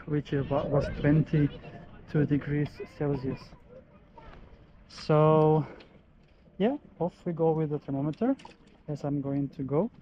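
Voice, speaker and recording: A man's voice: fairly high pitch, a little mellow, lo-fi sound, slight background noise. Upbeat man. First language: Macedonian. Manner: enthusiastic, touristy commentary. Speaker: travel vlogger. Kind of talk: casual on-location vlog narration. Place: outdoors on a seaside beach promenade.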